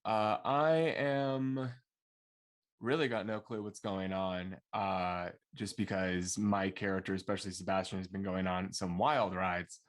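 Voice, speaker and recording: A man's voice: sits low, steady tone, a little slow. A man who works as a voice actor, chatting casually in an easygoing, somewhat hesitant way. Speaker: voice actor guest. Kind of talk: casual chat.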